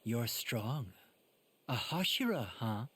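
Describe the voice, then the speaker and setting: A menacing demon fighter talks slowly and with amusement in an anime game. The voice is extremely raspy and swings expressively in pitch. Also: male voice, big pitch swings, a bit bright, exaggerated voice. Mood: amused menace. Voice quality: husky, raspy.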